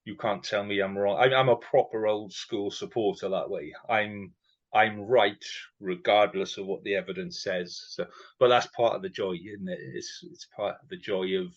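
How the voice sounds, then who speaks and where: A man's voice: on the low side, fairly even intonation, moderate pace, lo-fi sound. A man hosting a podcast in a casual, chatty way, joking at his own expense. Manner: chatty, self-mocking, laughs between points. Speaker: podcast host.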